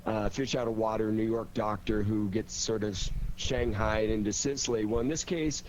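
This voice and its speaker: A man's voice: lowish voice, fairly even intonation, normal speed, darkish tone, lo-fi sound. A man talking casually and enthusiastically in an interview as a writer of radio drama, in a rambling storytelling way. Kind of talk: casual conversational interview talk. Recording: noisy recording with background hum.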